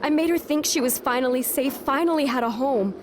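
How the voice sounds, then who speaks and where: Woman acting a high-voiced, anguished young noblewoman. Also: fairly quick, background noise, natural voice. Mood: anguished, guilty. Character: anguished young noblewoman, strong-willed, blaming herself. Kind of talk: audio drama acting.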